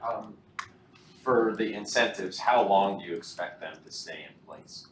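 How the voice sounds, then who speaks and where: A man's voice: on the low side, a little slow. A man asking questions as a reporter at a press conference. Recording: echoey room with faint noise.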